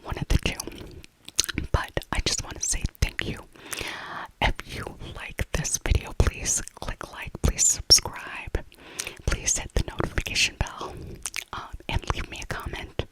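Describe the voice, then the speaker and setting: A woman speaking in a breathy, unvoiced whisper, gently rambling through a casual ASMR voiceover and often repeating her phrases. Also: a little slow, rough recording. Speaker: ASMR creator narrating a shopping trip.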